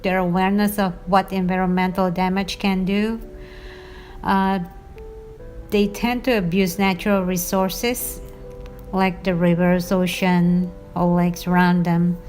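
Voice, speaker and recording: A woman's voice: fairly low pitch, little pitch movement, measured pace, a little mellow, faint music behind. A woman, speaking thoughtfully with frequent hesitations as an interviewee.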